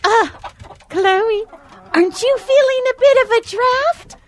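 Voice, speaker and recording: A woman's voice: very high voice, takes it slowly, a little hiss or hum. A woman voicing a vain, chatty hen in a comic audio drama, with a theatrical, exclamatory manner. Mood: prim, careful.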